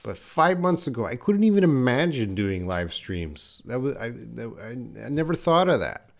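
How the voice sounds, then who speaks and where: A man's voice: mid-range voice, very animated, moderate pace, gravelly. A man, an English teacher, chatting on a live-stream class and answering viewers' comments as he reads them. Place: in Korea.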